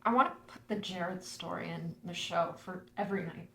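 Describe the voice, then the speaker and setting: An actress reading an earnest, playfully wistful daughter who runs a theater, in a screenplay table read. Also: female voice, on the low side, normal speed, bright, forceful tone. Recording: echoey room with clear background noise.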